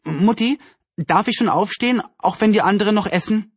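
Storybook young girl, played by a male narrator: enthusiastic, earnest little sister with a raised pitch. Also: lively intonation, brisk, slightly rough, no room sound. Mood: eager. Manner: enthusiastic, earnest, indignant when doubted.

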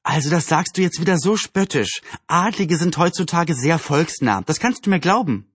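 Man as an eager, high-pitched little girl. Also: expressive, fairly quick, husky, dry recording. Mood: indignant. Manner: enthusiastic, earnest, indignant when doubted. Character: eager, excitable young girl, little sister.

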